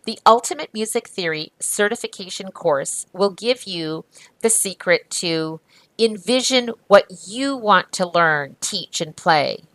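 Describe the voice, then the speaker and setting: A woman's voice: medium pitch, expressive, a little slow, fairly bright tone, no echo, poor audio. A woman presenting a music course in upbeat, polished, scripted narration. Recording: dry studio voice-over.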